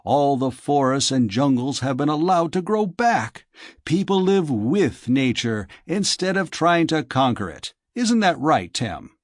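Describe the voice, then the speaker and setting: A man's voice: fairly high pitch, very animated, measured pace, no echo, clean studio sound. A man voicing a stubborn, contradicting male exile in a science fiction audiobook. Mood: corrective. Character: stubborn male exile sure of his idyllic memories.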